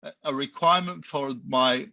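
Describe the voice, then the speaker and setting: A man speaks on a panel over a video call. His voice has a very sharp, forceful edge, and the sound is completely dry and close. He talks animatedly, pushes his points hard, and often restarts his sentences. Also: medium pitch, normal speed, gravelly, no echo, lo-fi sound. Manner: animated, emphatic, with frequent restarts. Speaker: business school professor.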